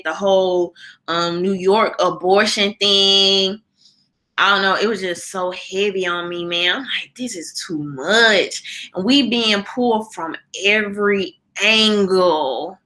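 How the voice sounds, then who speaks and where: A woman's voice: mid-range voice, sharp tone. An American woman hosting a casual live chat, talking in a colloquial, opinionated and emphatic way as she responds to viewers. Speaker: live show host. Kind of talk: casual livestream chat, reading and answering viewer comments.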